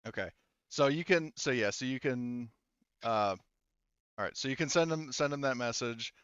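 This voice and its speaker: A man's voice: mid-range voice, normal speed, a bit bright, clean tone, dry recording, lo-fi sound. A man acting as game master, talking through a tabletop roleplaying scene informally and thinking aloud. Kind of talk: casual tabletop roleplaying narration and rules talk.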